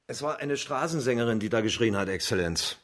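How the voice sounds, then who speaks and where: A man in a radio drama playing a brisk, firm officer of the watch with a clipped official manner. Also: medium pitch, expressive, noisy background, natural voice. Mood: reporting.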